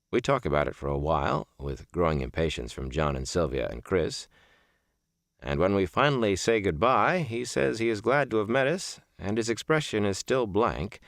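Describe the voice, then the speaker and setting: A man narrates a reflective audiobook in a very low voice. His phrasing is deliberate and measured, with lively rises and falls in pitch. The recording is close and dry. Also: vocal fry, no room sound. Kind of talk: scripted audiobook narration of a reflective first-person memoir novel.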